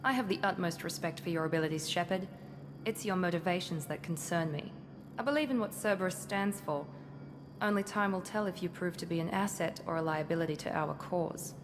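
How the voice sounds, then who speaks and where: An actress playing a confident, critical covert operative in a video game, speaking in an aloof, self-assured way. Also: female voice, fairly low pitch, fairly quick, natural voice. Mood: cool, wary. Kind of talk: video game cutscene acting. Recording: clear ambient noise behind.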